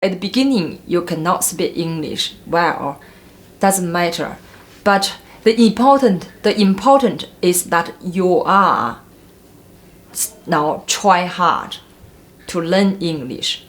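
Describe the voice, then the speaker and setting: A woman's voice: on the low side, animated, takes it slowly. A woman in her forties earnestly giving advice in an interview at home. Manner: earnest, advising. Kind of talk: documentary interview. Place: indoors in a home.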